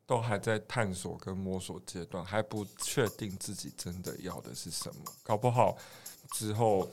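A man's voice: sits low, normal speed. A man with a Taiwanese accent hosting a podcast in a casual, conversational style. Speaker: podcast host. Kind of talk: casual chat.

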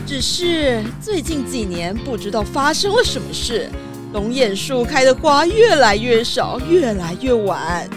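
A woman playing a worried, proud small-town mayor in a children's audio drama, speaking earnestly. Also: on the high side.

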